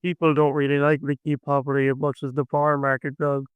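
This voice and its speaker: A man's voice: fairly high pitch, completely flat delivery, fast talker, clean tone, dry recording. An Irish man, a young adult folk singer, chatting enthusiastically in an interview and telling stories. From Ireland. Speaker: folk singer-songwriter guest. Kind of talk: casual interview chat.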